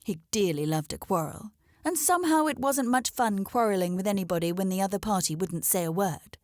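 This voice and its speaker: A woman reads a children's story aloud as its narrator. Her pitch sits in the middle range, and the recording is dry and free of echo. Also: animated, brisk, darkish tone.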